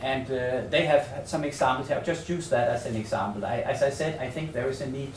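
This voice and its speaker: A man with a Danish accent gives a research presentation in an echoey room, picked up from some distance with faint noise behind him. His pitch is average for a man. He talks tentatively and haltingly, often repeating words as he works out the phrasing. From Denmark. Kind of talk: semi-improvised conference presentation. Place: seminar room in a hotel.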